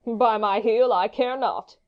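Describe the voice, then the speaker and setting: A woman's voice: on the high side, unhurried, lightly acted. Woman doing a cocky hotheaded young swordsman, wordy and taunting, shakespeare audio play, bit lo-fi. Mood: mocking, defiant. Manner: taunting, theatrical, verbose. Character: hot-headed, mocking, witty young male swordsman. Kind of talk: Shakespeare audiobook dramatic reading.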